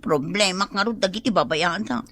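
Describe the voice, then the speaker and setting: A woman's voice: mid-range voice, moderate pace, a bit bright, no echo, clean studio sound. A woman playing a vain, status-conscious younger sister in an Ilocano radio comedy-drama, with an affected, whiny manner. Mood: worried.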